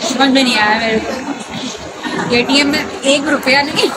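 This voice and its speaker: A woman's voice: high-pitched, a little slow, over a noisy crowd. A middle-aged woman, chatting casually to her phone and often repeating phrases. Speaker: vlogger. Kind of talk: casual family vlog chat. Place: busy indoor shopping mall and lift. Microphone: handheld phone at arm's length. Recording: noisy crowded mall, loud background din.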